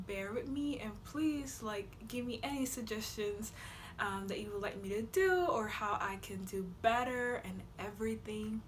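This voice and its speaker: A woman's voice: a little high, slight background noise. Chatty young woman from Honduras. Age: young adult. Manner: chatty, laughs often, many fillers. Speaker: vlogger. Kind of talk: casual chat to camera. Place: bedroom with a flag behind her.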